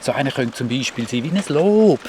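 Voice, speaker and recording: A man's voice: mid-range voice, animated, a little fast, darkish tone, slight background noise, close to an everyday voice. A man with a Swiss German accent voicing a young adventurer who rambles and repeats words as he explains things. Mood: explaining. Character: Sämi, a curious young cart-riding adventurer.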